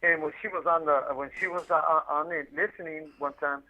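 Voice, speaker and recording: Man, tinny phone line, fairly high voice, talkative, slangy, rambling casual storytelling. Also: fairly even intonation, a little fast, pushed and bright. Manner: talkative, slangy, repeats himself. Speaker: incarcerated guest calling in by collect call. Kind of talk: casual chat, rambling anecdotes.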